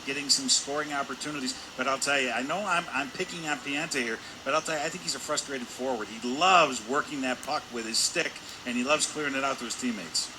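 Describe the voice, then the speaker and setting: A man's voice: mid-range voice, fairly quick, hardly any pauses. A man giving live color commentary on a hockey game in a conversational, discursive manner. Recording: clear arena noise behind voice. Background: hockey arena ambience.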